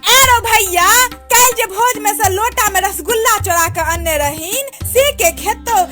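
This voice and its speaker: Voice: very high voice, measured pace. An actor voicing a cheeky, tattling little village sister in a comic cartoon, with a Maithili accent. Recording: music behind the voice.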